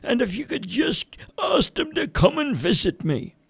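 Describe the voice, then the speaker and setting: A man voicing the oldest man on the mountain, lonely, speaking slowly in a high, soft falsetto. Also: sing-song, gravelly, slightly rough, no room sound, full character voice. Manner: slow and wistful.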